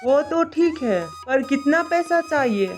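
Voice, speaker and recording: A woman playing a domineering, bad-tempered merchant's wife in an animated moral story, scolding and haughty. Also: high-pitched, unhurried, faint music behind. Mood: brisk, haughty.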